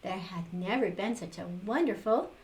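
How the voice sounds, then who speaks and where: A woman's voice: medium pitch, expressive, normal speed. An older woman reading a picture book aloud to children, expressively, with little exclaimed asides. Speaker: storytime reader for children.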